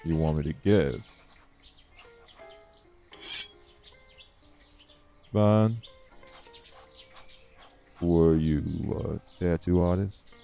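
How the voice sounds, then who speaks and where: A man playing a guarded, world-weary old vampire in an audio roleplay, speaking in an unhurried, wry, folksy drawl. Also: mellow, faint music behind. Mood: teasing, curious. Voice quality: low, gravelly. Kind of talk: slow, intimate audio roleplay acting.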